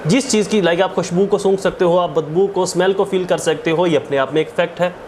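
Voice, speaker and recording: High-pitched Pakistani man lecturing. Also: brisk, noisy background. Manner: didactic, repeats key phrases and lists points. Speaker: law lecturer. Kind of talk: explanatory lecture mixing Urdu with English legal terms.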